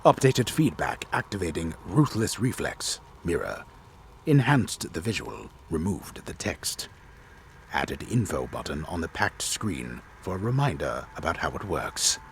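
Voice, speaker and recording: A man voicing a grave, disembodied game storyteller in a solemn, deliberate, theatrical reading. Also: low voice, sing-song, creaky, a little hiss or hum, full character voice. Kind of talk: dramatic reading of game patch notes.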